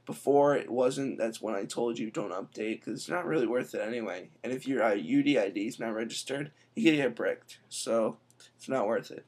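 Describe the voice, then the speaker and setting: A young man chats through a tech tutorial while recording his screen. His voice sits at a middling pitch. He talks casually and keeps breaking off for quick asides into a laptop webcam mic in an indoor room. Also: a little mellow. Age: young adult. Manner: chatty, quick asides, says "I'm telling you". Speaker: tech vlogger.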